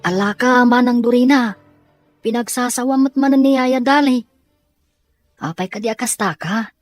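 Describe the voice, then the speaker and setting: A woman's voice: on the high side, moderate pace, faint music behind, natural voice. A woman playing an earnest schoolgirl younger sister and peacemaker in an Ilocano audio drama. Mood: reproachful. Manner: pleading, reproachful.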